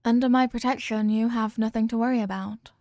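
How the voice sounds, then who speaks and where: A woman's voice: fairly high pitch, steady tone, fairly quick, dark tone, no room sound, studio quality, natural voice. A woman voicing an obsessively loving evil queen in a roleplay audio drama, speaking formally and measuredly with imperious, veiled menace. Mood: possessive reassurance. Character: possessive yandere evil queen, regal, obsessively in love. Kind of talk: scripted roleplay monologue, ASMR audio drama.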